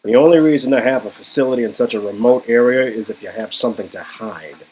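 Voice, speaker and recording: A man's voice: mid-range voice, fast, a little mellow, pitched above the performer's own voice, close to an everyday voice, low-quality recording. A man acting out a clever, cautious male captive with urgent, emphatic delivery and faint noise behind. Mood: wary, urgent.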